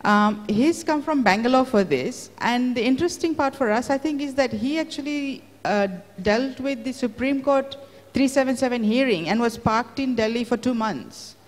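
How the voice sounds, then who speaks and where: A woman's voice: on the high side, normal speed. A woman hosting an event, introducing speakers in an informal way. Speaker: event host introducing readers. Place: large indoor event venue. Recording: echoey hall.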